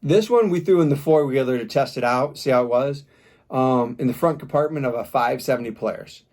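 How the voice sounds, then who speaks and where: A man's voice: medium pitch, brisk, darkish tone. A man doing an informal product review, talking casually as he demonstrates gear step by step.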